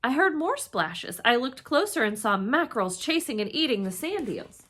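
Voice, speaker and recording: Female storybook reader, slightly high pitch, swooping expressive delivery, dramatic emphasis for young listeners. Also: normal speed. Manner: dramatic, with emphatic exclamations and hushed suspense. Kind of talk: expressive children's read-aloud narration.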